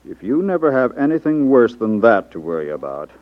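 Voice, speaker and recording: An actor playing a smug, condescending racketeer boss in a suave, dry manner in a 1950s radio drama. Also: male voice, medium pitch, sing-song, brisk, no room sound, natural voice. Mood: smug, sardonic. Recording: tinny band-limited 1950s radio recording.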